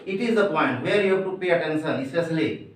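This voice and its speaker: A man with an Indian accent, a native Hindi speaker, teaching grammar in a deliberate, emphatic way and addressing his listeners directly. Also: fairly high pitch, lively intonation. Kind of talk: instructional grammar lesson to camera. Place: echoey room indoors. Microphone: distant microphone. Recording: very echoey room, distant mic.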